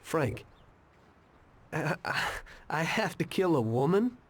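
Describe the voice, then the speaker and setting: A man's voice: on the high side, sing-song, slow pace, full character voice. A man playing a reluctant young mobster in a video game, loyal but troubled, with an understated, wry, hesitant delivery. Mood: uneasy. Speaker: mobster protagonist, former taxi driver.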